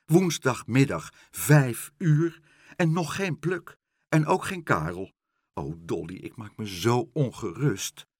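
A man's voice: mid-range voice, sing-song, measured pace, breathy edge, no room sound, clean studio sound, voice pushed up. Man acting Meneer Pen, a fretful shopkeeper. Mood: gloomy, worried. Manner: fretful, questioning, hesitant. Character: Meneer Pen, anxious gentle male shopkeeper.

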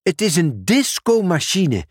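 An excitable sorting office manager, as a male storyteller puts him on, talking high and full of exclamations. His voice jumps around, and the recording is dry and close. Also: unhurried, husky, no echo, heavily acted. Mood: excited.

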